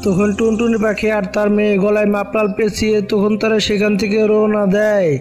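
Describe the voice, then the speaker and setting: A woman's voice: mid-range voice, monotone, a little fast, a little mellow. A woman narrating a scripted cartoon story for viewers. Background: music.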